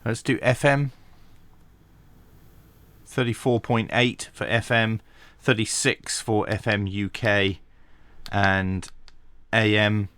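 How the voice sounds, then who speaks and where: A man giving a hands-on tech tutorial, talking through each step methodically with pauses and dry asides. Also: lowish voice, little pitch movement, fairly bright tone, smooth, no echo. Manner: methodical, thinking aloud with frequent pauses. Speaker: radio reviewer.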